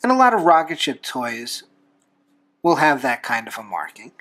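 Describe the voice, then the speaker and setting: A man hosting a drawing tutorial, explaining each step in a folksy, unhurried way with pauses. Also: mid-range voice, very animated, sharp tone. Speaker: host of a drawing tutorial show, reverend. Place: talking from behind a camera while drawing.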